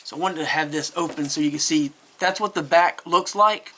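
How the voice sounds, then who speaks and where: A man's voice: fairly high pitch, brisk, bright, forceful tone. A man giving a casual, unscripted product review in a chatty, rambling, candid way.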